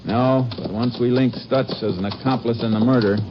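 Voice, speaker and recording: An actor playing a steady, commanding Texas Ranger investigator, clipped and businesslike, in a 1950s radio drama. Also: male voice, mid-range voice, normal speed, noisy background, natural voice. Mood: purposeful. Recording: dull old radio recording, music behind.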